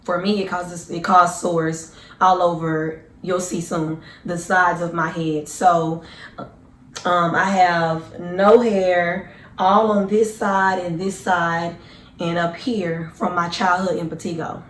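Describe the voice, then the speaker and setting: A woman in her twenties with a hoarse, deep, raspy voice, chatting earnestly and casually to her viewers as a vlogger. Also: little pitch movement, takes it slowly, reverberant, slight background noise. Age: 20s. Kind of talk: casual personal storytelling to camera.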